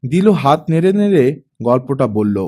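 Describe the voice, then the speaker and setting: A man reading a story aloud as an expressive audiobook narrator. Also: mid-range voice, normal speed.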